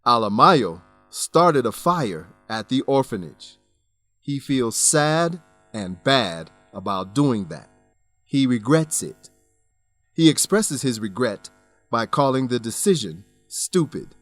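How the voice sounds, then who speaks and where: A man narrating a scripted English lesson, enunciating deliberately as he explains words and grammar. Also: medium pitch, big pitch swings, fairly bright tone, soft background music.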